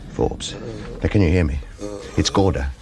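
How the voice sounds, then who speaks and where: An actor playing a bitter, driven male investigator in a radio drama, terse and insistent, with halting repeats. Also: a bass voice, over loud music. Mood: low, urgent.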